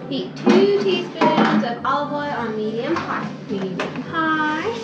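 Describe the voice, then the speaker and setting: A woman's voice: high-pitched, animated, slow pace. A woman in her twenties chatting casually while she cooks at home, reading recipe steps aloud in a playful, unsure way. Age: 20s. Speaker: vlogger learning to cook. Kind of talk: casual cooking vlog chat. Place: home kitchen, indoors. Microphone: camera across the kitchen. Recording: echoey, dull kitchen audio with music.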